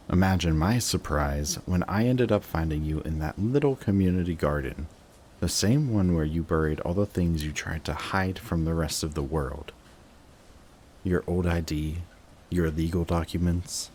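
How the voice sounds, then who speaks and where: Guy acting as a sardonic villain, really low voice, intense dramatic pauses, audio roleplay, noticeable background noise. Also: darkish tone. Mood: wistful, pained. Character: bitter adult male villain, guarded, secretly devoted to rival. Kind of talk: emotional audio roleplay monologue to the listener. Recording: clearly audible background noise.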